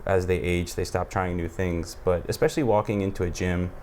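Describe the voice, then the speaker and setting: Male American podcast host, fairly low voice, informal conversational delivery, a little noise in the recording. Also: steady tone, fairly quick, darkish tone. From United States. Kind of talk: casual chat.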